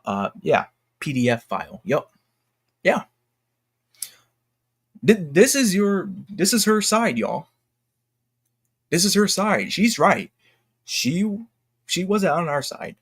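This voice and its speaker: An American man giving casual, unscripted online commentary in a halting, repetitive, slangy way. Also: high-pitched, very animated, fairly bright tone, husky. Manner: halting and repetitive, slangy, addressing viewers as y'all. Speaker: online video commentator and host. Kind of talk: casual unscripted commentary, reading posts aloud.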